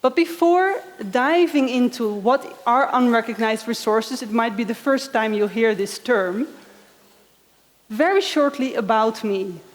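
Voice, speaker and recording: Female speaker in her thirties, Dutch accent, slightly high voice, engaging presenter, long hall reverb. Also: unhurried. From Netherlands. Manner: friendly, engaging, asks the audience questions. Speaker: conference speaker. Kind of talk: conference keynote talk. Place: large conference hall. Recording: echoey hall.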